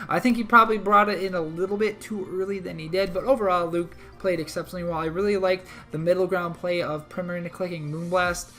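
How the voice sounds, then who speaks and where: A man co-hosting a league recap, talking through a match in a rambling, self-correcting way, a little hoarse from a cold. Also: high-pitched, steady tone, fast talker, hardly any pauses, bright, forceful tone, soft background music. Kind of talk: casual game recap commentary.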